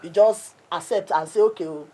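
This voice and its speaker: A woman's voice: on the low side, brisk, mellow, a little hiss or hum, rough recording. A Nigerian woman speaking earnestly and emphatically as an interview guest, in English with some Pidgin. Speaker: stage play cast or crew member, guest.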